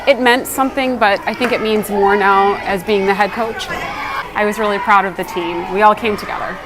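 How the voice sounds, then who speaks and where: A woman's voice: medium pitch, steady tone, moderate pace, crowd noise, background noise. A middle-aged woman answering interview questions as a teacher and coach, speaking earnestly and reflectively in a conversational way. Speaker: interviewee, high school teacher and track head coach.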